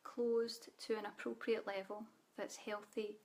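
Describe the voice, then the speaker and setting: A Scottish woman leading a live online spiritual training, speaking softly and calmly, guiding listeners with frequent ums. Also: mid-range voice, a little slow, slight background noise. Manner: soft guided-meditation delivery with fillers. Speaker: spiritual teacher.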